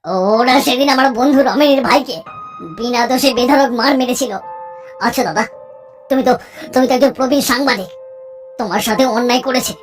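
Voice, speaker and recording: Woman playing earnest cartoon friend, high voice, concerned, urging, faint background music. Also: moderate pace, pauses often, close to an everyday voice.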